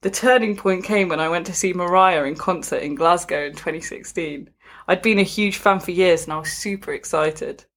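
A woman with a fairly low-set voice hosts in a casual style, reading a story aloud with amusement. She is giggly and tends to step out of the text with chatty asides. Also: moderate pace, fairly bright tone. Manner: giggly, often breaking off to comment. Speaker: podcast host reading out a magazine story.

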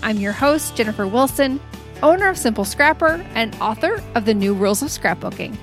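A woman's voice: fairly high pitch, expressive, brisk, faint music behind. A woman hosting a podcast and interviewing a guest in an upbeat, enthusiastic way. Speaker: podcast host. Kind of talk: podcast interview, scripted intro.

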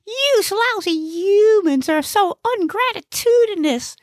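A woman's voice: very high voice, animated, slow pace, no room sound, clean studio sound, pitched above the performer's own voice, exaggerated voice. A woman voicing a bossy, cranky small male alien in an audiobook, talking brashly in folksy slang with mangled big words. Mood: indignant. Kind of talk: audiobook character voice.

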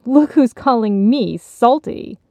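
Woman doing a grumpy, creaky old-stock female gift basket. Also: on the high side, lively intonation, takes it slowly, dark tone, dry recording, pitched above the performer's own voice. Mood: scornful. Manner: sarcastic, grousing.

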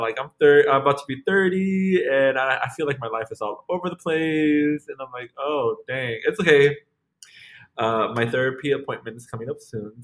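A man's voice: medium pitch, fairly bright tone. A man in his late twenties co-hosting a podcast, chatting casually and rambling with lots of fillers and restarts. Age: 20s. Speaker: podcast co-host. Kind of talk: casual chat.

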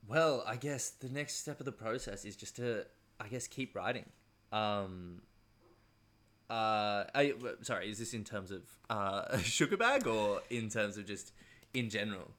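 Male interview guest, low but bright and forward voice, conversational, meandering and hesitant delivery. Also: lively intonation, unhurried.

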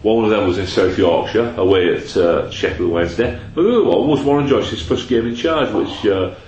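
A man's voice: fairly low pitch, fairly quick, darkish tone, highs cut off. A man hosting a casual football chat, rattling off stats and tag questions. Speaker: podcast host. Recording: echoey room, faint noise.

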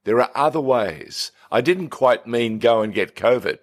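A man's voice: mid-range voice, animated, a little slow. A middle-aged man, a playful, teasing podcast co-host who chats casually. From Australia. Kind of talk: casual chat.

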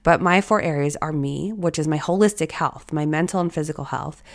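Woman, thirties, raspy, hoarse, low-pitched, reflective solo podcast host. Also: brisk. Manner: reflective, encouraging, speaks straight to listeners. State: hoarse from allergies or illness.

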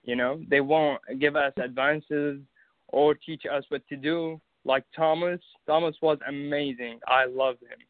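A man's voice: on the high side, steady tone, unhurried, dry recording. Man with an Arabic accent on a phone line. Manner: hesitant, many fillers and restarts. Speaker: interview guest. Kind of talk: casual interview. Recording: phone-like narrow sound, dry.

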